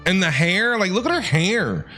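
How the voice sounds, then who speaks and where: A man's voice: sits high, sing-song, normal speed. A man giving casual, chatty and opinionated commentary while streaming a game. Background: game music.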